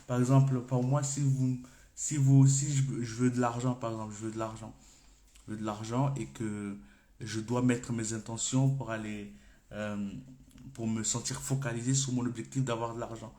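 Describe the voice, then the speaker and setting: A Comorian-speaking man giving a loose, off-the-cuff coaching talk, addressing the viewer informally. He works through his ideas out loud and restarts his sentences as he goes. His mid-range voice rings in a roomy, echoing space. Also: mid-range voice, level tone, moderate pace. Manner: thinks aloud, informal, stops and restarts phrases. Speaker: personal development coach. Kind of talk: unscripted coaching talk to camera. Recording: echoey room.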